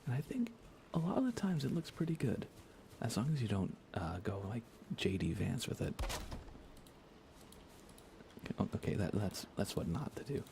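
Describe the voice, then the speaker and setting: A man with a very low, fry-laden voice chats quietly on an ASMR gaming livestream. He keeps things hushed, often slipping toward a whisper, and wanders through his thoughts unhurriedly. Steady noise sits clearly behind him. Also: fairly quick. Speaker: live streamer. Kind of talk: soft-spoken ASMR gaming livestream chat.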